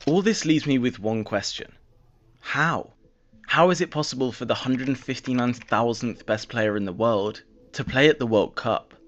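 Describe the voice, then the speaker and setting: A man giving a measured scripted narration about football rankings. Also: medium pitch. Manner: measured, explanatory. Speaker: documentary narrator. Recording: dull clip audio under music.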